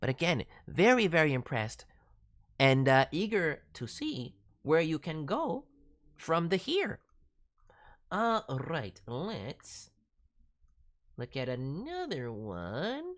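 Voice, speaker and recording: A woman with a low voice hosts a casual review stream. Her pitch moves around a great deal as she coaches in an animated, encouraging way. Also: slow, long pauses. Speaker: streaming host and voice director reviewing demos. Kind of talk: casual livestream critique.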